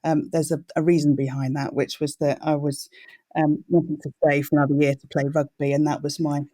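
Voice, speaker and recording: A British woman in her forties talking conversationally as a podcast guest, telling her story in a measured, articulate way. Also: deep voice, little pitch movement, dark tone, dry recording. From Wales and Birmingham, UK. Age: 40s. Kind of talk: conversational self-introduction.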